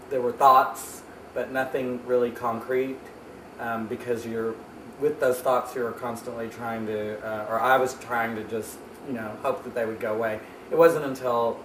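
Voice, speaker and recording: A man in his early thirties speaks slowly and haltingly, pausing on ums as he thinks. His mid-range voice sounds as if he sits some way from the microphone in an echoey room, with a clear hiss of noise behind him. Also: mid-range voice. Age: 30s. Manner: hesitant, many ums and restarts. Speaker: interviewee. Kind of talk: reflective interview answers. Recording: roomy, distant mic, background noise.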